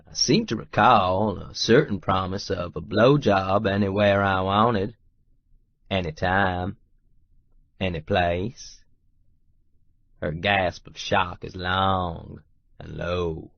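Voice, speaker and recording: A man's voice: sits low, fairly even intonation, slow, vocal fry, pauses often, no room sound, highs cut off, exaggerated voice. An actor narrating a romance audiobook as a stubborn, wry, lovestruck Texas oilman. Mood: teasing, amused. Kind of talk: first-person audiobook narration with dialogue.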